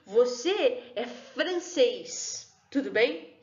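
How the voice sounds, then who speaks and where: High-voiced woman teaching history. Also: very animated, drawn-out words. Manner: chatty, keeps checking in with "tudo bem?" and "né, pessoal?". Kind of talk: online history lesson. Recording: echoey room, dull lo-fi sound.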